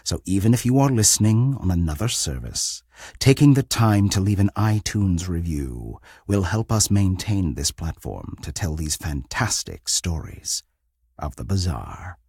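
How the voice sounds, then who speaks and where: A man with a very deep, rough-edged voice hosts an audio drama anthology. His scripted narration is formal and theatrical, swinging widely in pitch, and recorded close and dry. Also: very animated, darkish tone, no echo. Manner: theatrical, formal, deliberate phrasing.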